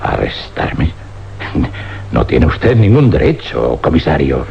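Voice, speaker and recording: An actor playing an intense, obsessed scientist, phrasing dramatically and emphatically in old radio drama style. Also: male voice, rumbling low, very animated, takes it slowly, gravelly, a little hiss or hum, exaggerated voice. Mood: indignant. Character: intense, obsessed scientist doctor, haunted and insistent. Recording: muffled, faintly humming old radio recording.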